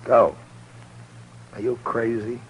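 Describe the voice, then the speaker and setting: An actor with an American accent playing a stern, weary, authoritative frontier marshal in an old-time radio western, speaking firmly and bluntly. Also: male voice, medium pitch, fairly even intonation, slow pace, highs cut off, natural voice. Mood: stern, commanding. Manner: firm, blunt, commanding. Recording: hissy old radio recording.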